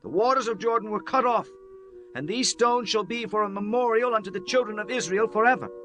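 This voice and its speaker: A man's voice: high voice, a little fast, a bit bright. A man playing a commanding Israelite leader in a dramatized Bible audiobook, speaking in a solemn, declamatory way. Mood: solemn, exhorting. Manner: solemn, declamatory scripture delivery. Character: Joshua, commanding Israelite leader, solemnly instructing his people.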